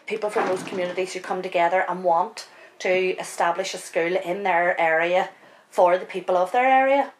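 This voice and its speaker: A woman, explaining how a community school works in an interview, with halting restarts. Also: lowish voice, slight background noise. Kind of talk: documentary interview.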